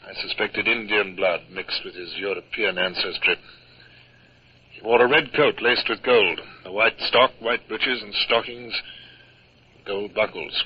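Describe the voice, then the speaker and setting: A man playing a formal, resolute naval captain who also narrates, in a 1950s radio drama. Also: lowish voice. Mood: measured narrating. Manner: formal, measured, throat-clearing hesitations. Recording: dull, faintly noisy old radio recording.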